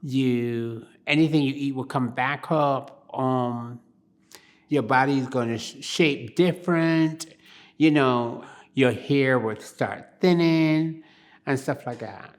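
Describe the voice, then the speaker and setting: A woman's voice: very deep voice, takes it slowly. An older woman from Barbados, telling her life story in an oral history interview in a plain-spoken, reflective way.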